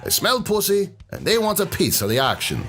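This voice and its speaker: A man's voice: high voice, huge pitch swings, fairly quick, a bit bright, soft background music, full character voice. A man playing a cocky, foul-mouthed, drunken brawler in a fan audio drama, sardonic and taunting. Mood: menacing.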